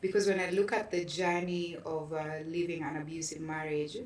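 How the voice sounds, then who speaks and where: A woman's voice: low voice, little pitch movement, moderate pace, a little mellow, slight background noise. A woman with a Kenyan accent speaking earnestly and reflectively in a conversational interview. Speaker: podcast guest, artist. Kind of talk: personal testimony in conversation. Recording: roomy echo, distant from mic.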